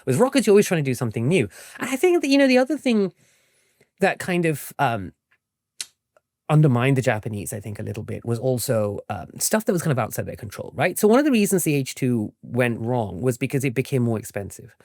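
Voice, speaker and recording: A man talks as an interview guest in a quick, highly animated voice, with pitch that moves around a lot. He explains in an informal, conversational way and often tags his points with a checking "right?" The sound is dry and close. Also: no echo. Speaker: interview guest, historian and author.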